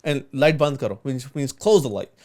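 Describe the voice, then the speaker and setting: A man whose first language is Urdu, co-hosting a podcast with animated, teasing banter and a lot of swearing. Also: mid-range voice, normal speed. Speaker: podcast co-host and editor. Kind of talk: casual banter.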